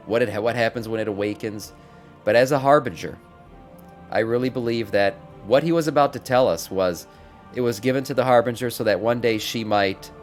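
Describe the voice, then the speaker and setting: Low-voiced man giving casual game lore commentary. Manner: conversational, emphatic, repeats phrases for stress. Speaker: gaming content creator and streamer.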